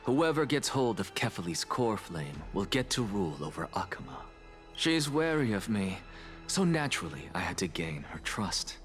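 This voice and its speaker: A proud, scheming scholar from a video game, with a very deep voice that rises and falls freely. He is aloof and sardonic, measured and theatrical, with music playing underneath. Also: big pitch swings, fairly bright tone. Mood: sly, assured. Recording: background music behind the voice.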